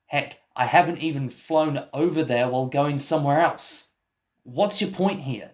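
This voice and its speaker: A man's voice: on the high side, moderate pace, close to an everyday voice. A man playing a baffled, exasperated interviewer in a comic radio play, with a dry, incredulous, questioning manner. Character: exasperated, baffled male interviewer and film producer. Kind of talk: scripted comic mock interview.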